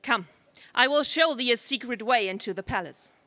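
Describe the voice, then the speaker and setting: A woman's voice: on the high side, normal speed, pushed and bright, no room sound. A woman playing a fierce, noble queen of a lion people, with grand, archaic declamation in a 1930s radio serial style. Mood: grave.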